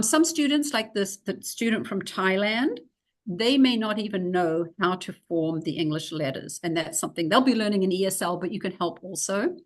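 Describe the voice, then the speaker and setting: A middle-aged woman with a New Zealand accent, explaining reading instruction for English learners in a recorded video-call lecture, practical and full of fillers. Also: mid-range voice. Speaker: teacher trainer.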